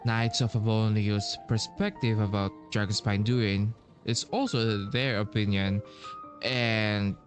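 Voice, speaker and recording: A man giving casual game commentary, thinking aloud haltingly with long pauses. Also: fairly low pitch, fairly even intonation. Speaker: gaming streamer playing through a story quest. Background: game music.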